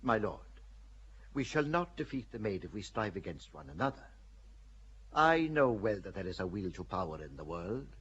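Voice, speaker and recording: Male actor: calculating bishop, husky, measured and persuasive, muffled radio audio. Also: lowish voice, sing-song, fairly bright tone, full character voice. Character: shrewd older churchman, a bishop, calculating.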